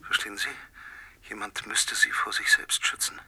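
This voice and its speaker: An actor in a German radio play playing a brooding, coldly obsessive middle-aged husband who speaks with deliberate, quiet menace. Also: male voice, fairly low pitch, monotone, husky, full character voice. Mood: brooding, menacing. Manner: deliberate, insistent, drops into whispers.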